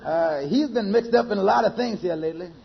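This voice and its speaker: On a dull old radio recording, a meek, loyal sidekick. The voice is very high for a man and heavily creaky, with hesitant, folksy, simple phrasing. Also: fairly quick. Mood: earnest, hesitant. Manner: hesitant, folksy nonstandard grammar. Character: mild, helpful, loyal male friend, simple and earnest. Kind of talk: 1940s-50s radio sitcom acting. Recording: muffled vintage radio recording.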